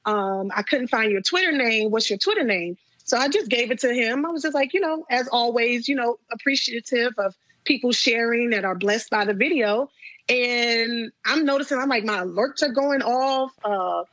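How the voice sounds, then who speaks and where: A woman in her late thirties, a gospel singer telling stories as an interview guest, animated and laughing often. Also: fairly high pitch, normal speed, no room sound, highs cut off. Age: 30s. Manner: animated storytelling, frequent laughter.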